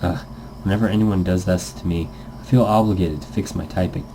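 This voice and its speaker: A man's voice: low-pitched, fairly even intonation, a little fast, dark tone, a little hiss or hum. A man hosting a let's-play, reading a visual novel aloud and adding playful, goofy improvised asides in a casual gaming commentary style.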